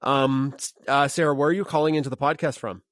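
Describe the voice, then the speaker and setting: A woman hosting a science podcast, reading plugs and asking quick, upbeat follow-up questions. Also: a bass voice, steady tone, no room sound. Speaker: podcast host. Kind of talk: casual interview and plugs.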